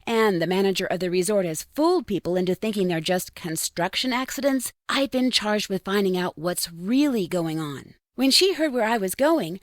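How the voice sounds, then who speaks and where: A woman's voice: mid-range voice, no echo, clean studio sound, lightly acted. A woman playing a curious, plucky young amateur detective in a video game, articulate and inquisitive as she thinks things through. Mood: composed narration. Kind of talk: scripted video game voice acting.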